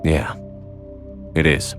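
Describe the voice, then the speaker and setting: A man playing a calm, dry, resolute military commander in video game cutscene dialogue. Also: drawn-out words, over music. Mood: dry, supportive.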